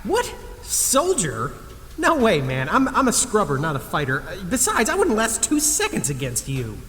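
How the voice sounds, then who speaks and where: High-pitched, nervous, wry everyman guy. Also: wildly swooping pitch, moderate pace, sharp tone, exaggerated voice. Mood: indignant. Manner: hesitant, sarcastic, rambling. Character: hapless, self-deprecating museum cleaner, wry and nervous. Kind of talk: naturalistic comedic audio drama acting. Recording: long cavernous reverb with faint noise.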